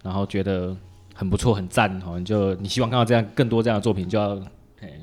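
A man's voice: fairly low pitch, fairly even intonation, fast talker, darkish tone. A man with a Taiwanese Mandarin accent hosting a casual podcast interview in a playful, teasing way. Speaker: podcast host.

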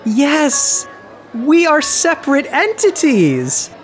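A man's voice: very high-pitched, huge pitch swings, slow pace, faint music behind, heavily acted. A man playing a childlike alien posing as a human, cheerfully literal and stiffly eager, in a dramatised fan fiction reading.

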